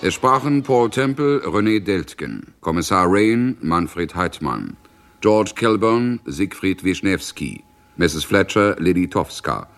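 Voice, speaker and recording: Man, formal old radio announcer, low voice, sweeping intonation, slow. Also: lively intonation, fairly bright tone. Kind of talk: formal vintage cast announcement. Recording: old radio recording, music behind.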